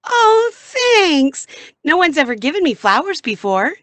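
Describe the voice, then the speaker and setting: Woman playing a high-voiced, guileless young companion. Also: sing-song, a little slow, fairly bright tone, no room sound, heavily acted. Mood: surprised delight. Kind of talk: audio drama acting.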